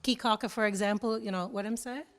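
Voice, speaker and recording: A woman's voice: fairly high pitch, steady tone. Reflective Jamaican-born woman, interview guest. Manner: reflective, unhurried, speaks of slowing down. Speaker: interview guest, college IT teacher. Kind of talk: relaxed conversational interview answers.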